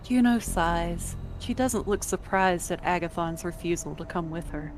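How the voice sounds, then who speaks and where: A woman's voice: lowish voice, normal speed, faint music behind. A woman narrator reading scripted second-person story narration for an amateur game drama.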